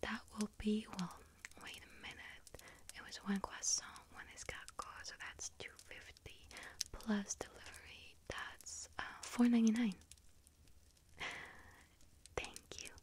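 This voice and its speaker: A woman doing a whispered ASMR role-play as a friendly young bakery witch, speaking softly and gently with a breathy, hesitant delivery. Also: medium pitch, steady tone, a little slow. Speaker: ASMR performer role-playing a bakery shop helper.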